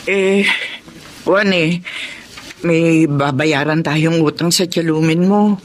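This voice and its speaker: An actress playing a worried middle-aged mother in a radio drama, speaking hesitantly and haltingly. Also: low-pitched. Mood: hesitant, concerned. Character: worried, hesitant older mother with money troubles. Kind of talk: Tagalog radio drama acting.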